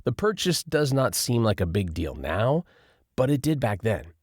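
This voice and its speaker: A man narrating a first-person nonfiction audiobook in an expressive, lively reading style. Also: mid-range voice, moderate pace, dry recording, studio quality.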